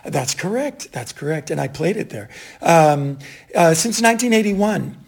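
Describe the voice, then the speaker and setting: A man in his sixties from Boston, with a Boston accent, telling stories from his stage career in a chatty, animated way. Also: a little high, moderate pace, creaky, slightly rough. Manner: chatty, animated anecdotes with fillers. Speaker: stage actor and director. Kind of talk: casual interview storytelling.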